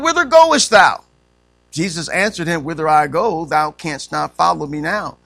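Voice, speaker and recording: A man's voice: high voice, creaky, bright, forceful tone. A man, preaching a sermon as pastor, punctuating points with "amen" and rising emphatic questions. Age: 50s.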